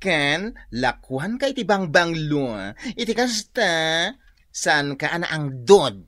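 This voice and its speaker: An actress playing a campy, gossipy, cheerful beautician friend in a radio drama, theatrical and sing-song, with a Filipino accent. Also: female voice, sits low, very animated, slow, no room sound, clean studio sound, heavily acted. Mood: cheerful coaxing.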